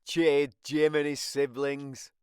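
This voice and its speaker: A storybook reader, giving animated scripted narration for children. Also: mid-range voice, slow, smooth, no echo, studio quality.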